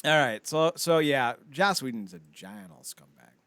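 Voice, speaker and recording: A man's voice: medium pitch, expressive, moderate pace, fairly bright tone, smooth, dry recording. A man co-hosting a casual pop-culture podcast, rambling and swearing freely as he chats. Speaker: podcast co-host.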